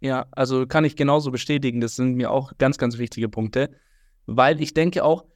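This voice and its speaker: A man's voice: medium pitch, fairly even intonation, normal speed, no room sound, clean studio sound. A man hosting a podcast interview, talking in a friendly, rambling way with many fillers. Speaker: podcast host. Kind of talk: casual interview chat.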